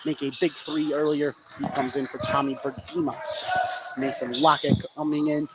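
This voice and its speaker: A man doing live radio play-by-play commentary of a basketball game, excitable on big plays. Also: a little high, moderate pace. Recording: clearly noisy live sports broadcast.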